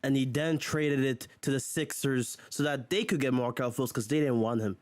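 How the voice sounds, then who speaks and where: A man's voice: mid-range voice, moderate pace, no room sound. A man hosting a casual sports commentary video, chatty and exclaiming, often chuckling. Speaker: video host.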